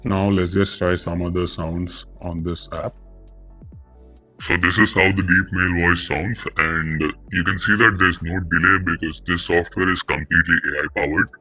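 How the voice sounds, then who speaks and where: A man demonstrating software through an AI voice changer set to deep, mature male presets. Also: steady tone, moderate pace, faint music behind, full character voice. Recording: dull lo-fi processed audio.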